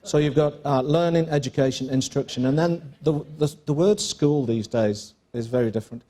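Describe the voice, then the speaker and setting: A middle-aged English school principal explaining his views in a measured way on a conference stage panel, with dry jokes. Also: mid-range voice, warm tone. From England.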